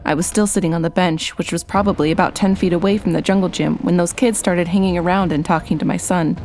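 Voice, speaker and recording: A woman's voice: mid-range voice, a little mellow. A woman reading a first-person scary story as scripted narration.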